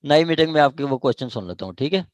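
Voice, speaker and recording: A man's voice: on the high side, expressive, rapid-fire, no echo, lo-fi sound. A man with a Pakistani accent lecturing on law in an online class, repeating and rephrasing points and often checking that he is followed. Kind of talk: online law lecture, explaining statute articles. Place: indoors, online meeting.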